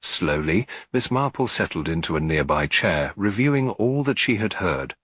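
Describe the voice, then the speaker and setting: A man's voice: low-pitched, very animated, moderate pace, a bit bright, no room sound. A man reading a classic detective story as a scripted audiobook narration.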